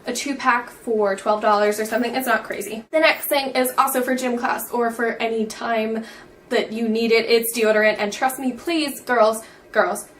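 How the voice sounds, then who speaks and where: A woman's voice: on the high side, steady tone, moderate pace, fairly bright tone, far from the mic, a little hiss or hum. A teenage girl in a casual beauty vlog, chatty and bubbly, saying "like" all the time. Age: teens. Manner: bubbly, says "like" constantly. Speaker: beauty vlogger.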